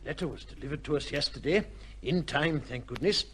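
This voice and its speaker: Through faint noise on an old radio recording comes a man acting a solicitor. His voice is a little high, careful and probing. He is formal and gently concerned. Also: fairly quick, lightly acted. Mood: gentle.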